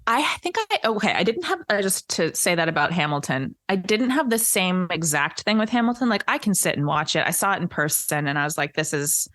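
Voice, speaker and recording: A woman's voice: on the low side, very animated, fairly quick, few pauses, a bit bright, dry recording, studio quality. A woman chatting casually and emphatically as a podcast guest, full of enthusiasm. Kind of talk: casual chat.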